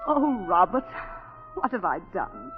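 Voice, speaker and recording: An actress playing a self-possessed, indignant planter's wife in a 1940s radio drama, telling her story in a halting, theatrical, emotive way. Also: lowish voice, very animated, soft background music. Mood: remorseful. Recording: dull 1940s radio broadcast, long reverb.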